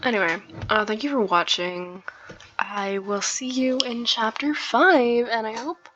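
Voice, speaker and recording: A woman's voice: fairly high pitch, unhurried, noisy background. A woman hosting a let's play in a casual, chatty way, commenting on the game and reading from it. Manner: giggly, chatty, informal asides. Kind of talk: casual game commentary with some read-aloud narration. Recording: dull lo-fi mic, music behind. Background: game music.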